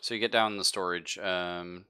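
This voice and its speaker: A man running a tabletop roleplaying game, improvising narration in a halting, casual way and pausing to think mid-sentence. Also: deep voice, fairly even intonation, bright, forceful tone, smooth. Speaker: game master.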